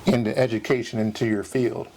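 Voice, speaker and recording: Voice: mid-range voice, steady tone, a little fast, heavy background noise. An interviewer asking questions in a casual chat, encouraging with frequent short replies.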